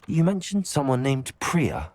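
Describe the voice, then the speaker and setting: An actor playing a polite, curious young student adventurer in a video game. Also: male voice, mid-range voice, huge pitch swings, a little slow, a little mellow. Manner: courteous, inquisitive. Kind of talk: video game character dialogue.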